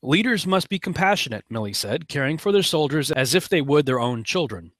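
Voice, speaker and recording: A man's voice: a little high, expressive, a little fast, fairly bright tone, no echo, clean studio sound. A man with an American accent giving informal commentary as host, sometimes reading aloud.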